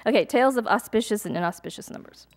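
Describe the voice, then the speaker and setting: Youngish woman poet reading her stuff live, slow and careful, then chatty and unsure between poems. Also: medium pitch. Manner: measured, deliberate reading with chatty hesitant asides between poems. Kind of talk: poetry reading with casual asides to an audience. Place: live reading event before an audience.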